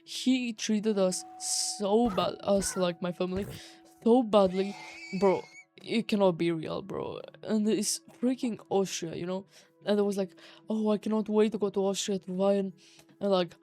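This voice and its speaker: A woman's voice: mid-range voice, steady tone, unhurried, warm tone, soft background music. A teenage young woman from Romania with a Romanian accent, giving a casual personal rant in an exasperated, slangy, emphatic way peppered with swearing. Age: teenager, high schooler. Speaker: vlogger.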